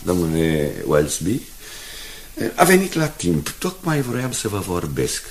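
A man's voice: low-pitched, huge pitch swings, exaggerated voice. A man playing an earnest, respectful factory subordinate in a radio drama. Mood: earnest, indignant. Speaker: actor.

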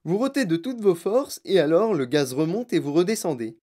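A storybook narrator voicing an excitable, boastful eccentric man who owns a chocolate factory, exclamatory, emphatic and impatient. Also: medium pitch, moderate pace, voice pushed up, natural voice. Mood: excited, proud.

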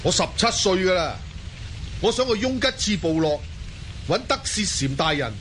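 A man's voice: sits high, big pitch swings, normal speed, a bit bright, slight background noise. A man playing an earnest, respectful seventeen-year-old Mongol youth in a Cantonese audio drama.

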